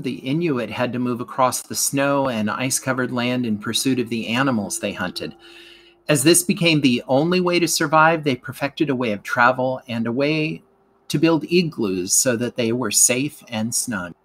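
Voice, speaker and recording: A man's voice: medium pitch, normal speed, fairly bright tone. A man narrating a scripted educational lesson as a teacher reading aloud.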